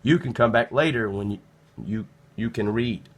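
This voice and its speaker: A man voicing a brisk, knowing woman head librarian who talks in an instructive, matter-of-fact way in a children's storybook. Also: mid-range voice, close to an everyday voice. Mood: urgent. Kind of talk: children's storybook read-aloud.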